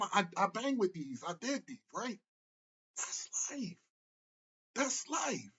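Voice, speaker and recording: A man in his early forties speaks in a fairly high, husky voice, giving a loose motivational talk. He circles back on his points and keeps checking in with the listener. The recording sounds dull and lo-fi. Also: a bit bright. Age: 40s. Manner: casual, repetitive, tag questions. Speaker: therapist. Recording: muffled lo-fi recording.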